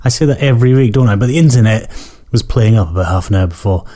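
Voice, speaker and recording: A man in his forties with a croaky voice, hosting a podcast in a rambling, self-deprecating way with many fillers. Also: fairly low pitch, quick pace, mellow. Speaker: podcast host. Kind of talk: casual spoken intro.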